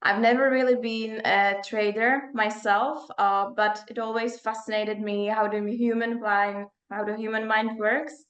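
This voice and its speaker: Czech woman, higher voice, roomy echo. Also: little pitch movement, measured pace, few pauses, fairly bright tone, muffled recording. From Czech Republic. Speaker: performance coach and psychologist. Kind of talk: casual interview talk.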